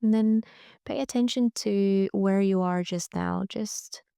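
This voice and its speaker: A woman leading a guided relaxation meditation in a gentle, soothing, unhurried way, with frequent pauses. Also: mid-range voice, creaky, smooth, dry recording, clean studio sound. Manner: gentle, soothing, pausing often. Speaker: yoga nidra guide.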